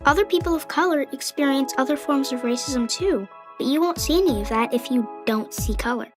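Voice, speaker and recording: A woman's voice: high-pitched, a little fast, close to an everyday voice. A woman playing an earnest character in a cartoon public service announcement. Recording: echoey, with prominent music.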